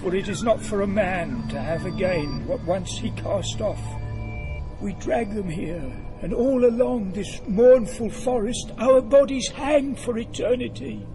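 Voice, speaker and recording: A man's voice: high-pitched, unhurried, poor audio. A man voicing a tormented dead soul turned into a thorn bush, mournful and dramatic, in an audio drama. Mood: bitter mourning. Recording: loud music behind the voice. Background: music.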